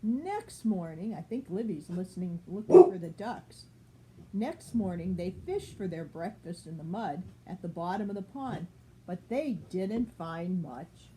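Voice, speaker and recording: Older woman, mellow, lively storybook reader. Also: fairly low pitch, measured pace, a little hiss or hum, poor audio. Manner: simple, child-directed explaining. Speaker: grandmother reading a picture book aloud to a child. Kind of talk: storybook read-aloud with casual asides.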